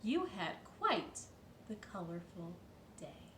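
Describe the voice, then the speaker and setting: A woman's voice: fairly low pitch, big pitch swings, slow, fairly bright tone, full character voice. A woman voicing a dog's amazed, fondly scolding owner in a children's storybook, exclaiming and drawing out the words in mock astonishment. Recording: roomy, distant mic with faint noise.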